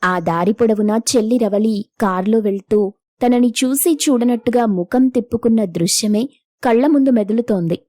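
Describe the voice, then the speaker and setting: A woman's voice: a little high, moderate pace, warm tone, no room sound, clean studio sound. A woman narrating a scripted moral story as the storyteller.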